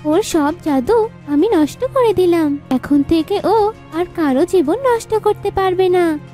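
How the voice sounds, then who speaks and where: A woman's voice: extremely high pitch, lively intonation, measured pace, warm tone. A woman voicing a bold, clever young wife in a cartoon, confident and defiant. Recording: music behind the voice.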